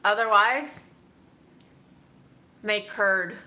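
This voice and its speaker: A woman's voice: medium pitch, very slow, bright, forceful tone. A woman chatting casually as she answers viewer questions, musing and easygoing. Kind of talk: casual Q&A chat.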